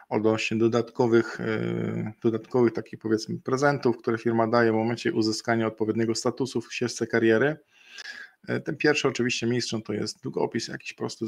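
A middle-aged man presenting a webinar over a video call, explaining a bonus program in a halting way with frequent fillers and restarts. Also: lowish voice, gravelly. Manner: halting, many fillers and restarts, slow deliberate pace in places. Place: indoor room, video call.

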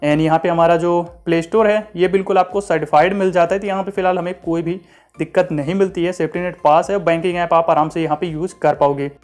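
A man's voice: high voice, steady tone, fast talker, darkish tone, soft background music. A man giving a casual walkthrough voice-over as a tech reviewer, mixing in English terms and repeating filler phrases. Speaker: tech reviewer, channel host.

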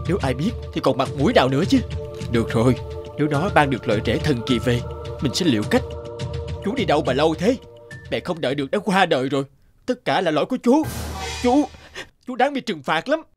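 Sly jealous older peasant brother, cartoon villain, grumbly, voice jumps around, music behind. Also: male voice, a little high. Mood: accusing. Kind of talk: animated fairy tale dubbing. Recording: background music under the voice.

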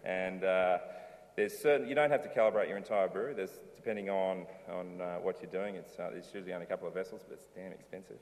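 A man's voice: low voice, quick pace, darkish tone. A middle-aged man giving a casual conference talk from a lectern, rambling loosely with many ums and restarts. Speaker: conference speaker, brewery owner. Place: large dark auditorium stage. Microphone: lectern mic, filmed from far in the hall. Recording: reverberant hall, distant camera.

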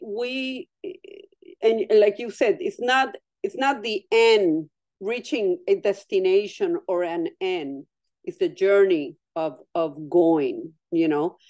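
A woman's voice: mid-range voice, a little mellow, no echo. A woman artist, a native Spanish speaker, talking thoughtfully over a video call from home and often restarting her sentences. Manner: thoughtful, with frequent restarts and repeated words. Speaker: artist interviewee. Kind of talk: reflective conversation.

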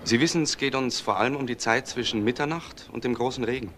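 A man's voice: mid-range voice, fast talker, fairly bright tone, a little hiss or hum, lightly acted. A man playing a correct, factual police detective in a German crime radio drama. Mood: inquiring. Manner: formal, factual.

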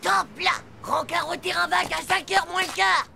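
A woman voicing a cocky, excitable, lovestruck schoolboy in a cartoon, with brash slangy playground talk. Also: very high-pitched, moderate pace, creaky, raised voice, heavily acted. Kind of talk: animated cartoon dialogue. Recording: music under the voice.

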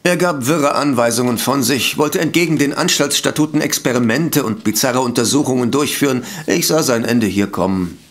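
A man's voice: mid-range voice, moderate pace, hardly any pauses, lightly acted. Man acting an evasive, formal sanatorium director. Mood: feigned regret. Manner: formal, hesitant, self-justifying. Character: evasive male sanatorium director, polite, defensive doctor. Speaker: voice actor in audio drama. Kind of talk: German detective radio play acting.

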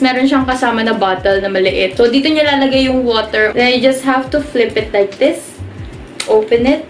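Young Filipino woman, playful vlog host with a slightly high voice, recorded in an echoey bedroom. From Philippines. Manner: chatty, playful, switching between English and Tagalog. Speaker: flight attendant vlogger. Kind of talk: casual show-and-tell vlog. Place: bedroom, indoors.

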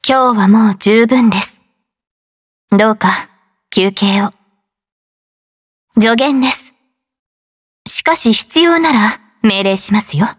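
Soft, breathy, gentle female android soldier. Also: fairly high pitch, slow pace, long pauses, no echo, clean studio sound. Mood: polite, concerned. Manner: polite, formal, soft-spoken. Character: gentle, composed, devoted female android soldier addressing her commander. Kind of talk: Japanese anime game voice acting.

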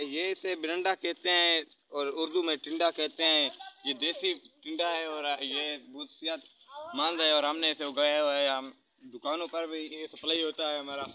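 A man's voice: a little high, fairly even intonation, normal speed, pushed and bright. A teenage boy proudly explaining the vegetables he grew, talking outdoors in a village garden to a phone camera. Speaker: young gardener showing his crops.

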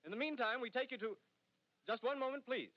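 An actor playing a male studio announcer in a 1930s radio drama, measured and formal. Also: very high voice. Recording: muffled 1930s radio recording.

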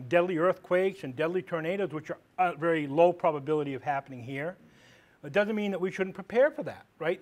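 Big-voiced police lieutenant lecturing a class. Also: male voice, a little high. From Maine, United States. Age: middle-aged. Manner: conversational, plain-spoken, asks rhetorical questions. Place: training room with a seated audience. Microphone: far camera at the back of the room.

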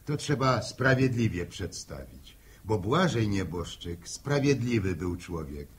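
An actor playing a stubborn, long-winded middle-aged farmer with a halting, rambling way of talking in an old radio drama. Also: male voice, lowish voice, big pitch swings, husky, heavily acted. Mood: earnest explaining. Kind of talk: 1960 radio drama acting. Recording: old 1960 radio recording.

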